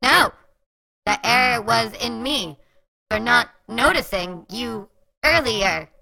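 A woman's voice: on the high side, expressive, takes it slowly, vocal fry, pushed and bright, exaggerated voice, poor audio. A woman playing a literal-minded, boastful robot with stilted, programmed phrasing in a comedic audio drama. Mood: confused, softening. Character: literal-minded, boastful female robot, later police detective. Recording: music behind the voice.

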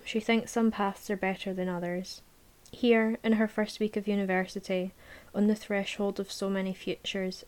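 A woman narrating a novel aloud in a measured, sometimes hushed voice. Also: medium pitch. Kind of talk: audiobook narration, present tense.

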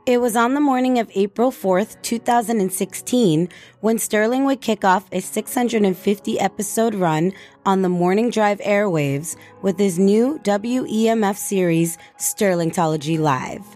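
Woman narrating formally over soft music. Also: mid-range voice, normal speed. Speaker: documentary narrator. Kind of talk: scripted radio retrospective narration.

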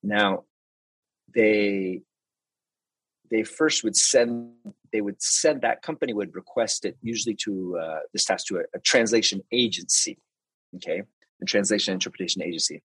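A man's voice: lowish voice, normal speed, a bit bright, slightly rough, no echo, low-quality recording. A man, a translator and interpreter, explaining his work step by step in a casual interview, with restarts and examples.

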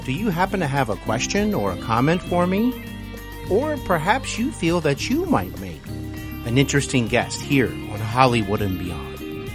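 A man hosting a podcast, speaking in a polite, somewhat halting way. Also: medium pitch, big pitch swings, over music. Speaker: podcast host. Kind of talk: interview talk and show announcements.

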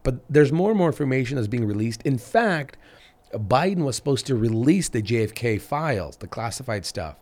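A man in his thirties with a raspy voice after being ill, hosting a casual podcast chat and rambling with restarts and fillers. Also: medium pitch, big pitch swings, normal speed, darkish tone. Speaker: podcast host.

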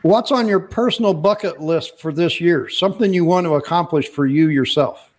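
A man's voice: fairly high pitch, normal speed. A man hosting a podcast interview, talking in a loose, rambling way and often repeating words as he starts a sentence. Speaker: podcast host. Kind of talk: casual interview chat.

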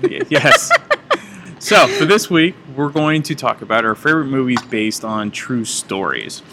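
A podcast host chatting casually, lively and encouraging with quick filler replies. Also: mid-range voice, noisy background.

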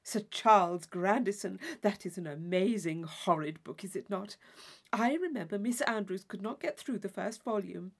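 A woman's voice: medium pitch, normal speed, vocal fry, pitched below the performer's own voice. A woman voicing an affected, gushing young society lady in an audiobook of a classic novel, with theatrical emphasis. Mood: gossipy.

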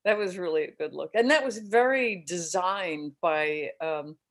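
An older actress answering interview questions in a relaxed, agreeable way, laughing easily. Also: female voice, fairly low pitch, a little slow. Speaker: actress guest. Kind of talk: casual interview chat.

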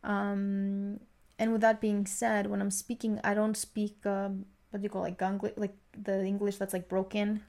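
A woman's voice: medium pitch, completely flat delivery, moderate pace, creaky, darkish tone. A young woman with a slight Greek accent chats casually as a podcast co-host, explaining things in a rambling, reflective way. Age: young adult. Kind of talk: casual conversation, sharing work experiences.

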